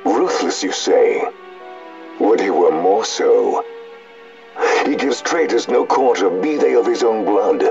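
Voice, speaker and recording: A man's voice: on the low side, big pitch swings, takes it slowly, pauses often, full character voice. A man playing a stern, fiercely loyal imperial judge in a fantasy video game, declaiming in formal, commanding phrases. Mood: stern, indignant. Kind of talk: formal, archaic video game drama acting. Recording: muffled game audio under clear music.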